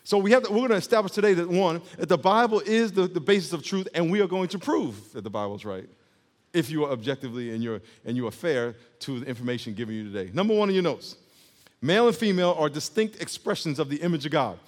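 A man's voice: a little high, lively intonation, fast talker. A male pastor preaching a sermon emphatically, calling on the congregation to repeat words back to him. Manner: emphatic preaching with call-and-response. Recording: long hall reverb.

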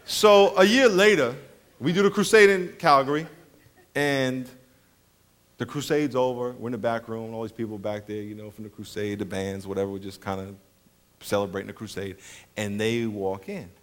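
A man's voice: medium pitch, normal speed. A man preaching a sermon, telling comic travel stories, acting out voices and laughing along. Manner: animated storyteller, acts out voices, laughs often. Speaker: pastor.

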